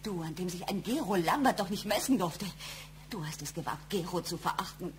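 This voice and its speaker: An actress playing an emotional, long-suffering, fiercely loyal wife in a German radio crime drama, fervent and wounded. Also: female voice, on the low side, lively intonation, fairly quick, slight background noise, natural voice. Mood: accusing.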